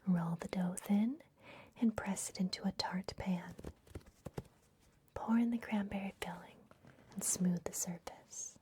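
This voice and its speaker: A woman whispering gently and unhurriedly in a breathy voice, playing a kindly vampire librarian in an ASMR fantasy roleplay. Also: medium pitch, steady tone, unhurried, pauses often, slight background noise. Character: kindly vampire librarian hosting a human guest. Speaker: ASMR performer.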